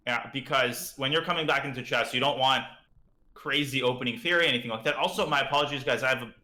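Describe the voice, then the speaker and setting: A man's voice: mid-range voice, fast talker, bright, forceful tone, roomy sound. A man with a slightly parched throat, chatting casually as he teaches chess on a live stream and thinks aloud about moves.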